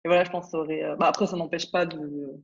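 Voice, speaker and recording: A woman's voice: low voice, fairly even intonation, very fast, creaky. Hesitant young woman, dull lo-fi sound. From France. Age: 20s. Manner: hesitant, many fillers and restarts. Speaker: startup founder, podcast guest. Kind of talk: casual interview talk.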